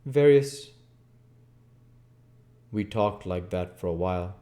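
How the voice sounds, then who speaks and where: A man's voice: low-pitched, unhurried, dark tone. A man reading a classic novel aloud as narration, occasionally stumbling over a line. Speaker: reader of a video book series.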